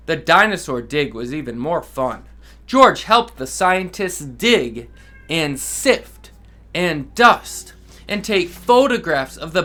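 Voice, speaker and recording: A man, a dad, reading a children's picture book aloud with enthusiastic, expressive delivery and asides to kids. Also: sits high. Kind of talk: children's read-aloud storytelling.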